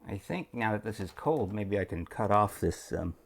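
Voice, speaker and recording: A man with a low-pitched voice hosts a casual repair tutorial. He talks in halting phrases, musing to himself as his hands are busy. The delivery is unscripted and practical. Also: animated, gravelly, breathy edge. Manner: halting, musing aloud while working. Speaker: host of a repair tutorial channel. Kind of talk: casual hands-on how-to commentary.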